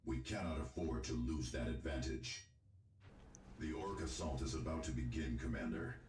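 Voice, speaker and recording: A stern war game commander, super deep voice, echoey, noisy audio. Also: male voice, lively intonation, gravelly, darkish tone, heavily acted. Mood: urgent briefing. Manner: formal, measured, stern. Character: grave male military commander giving mission briefings. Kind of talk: scripted video game briefing.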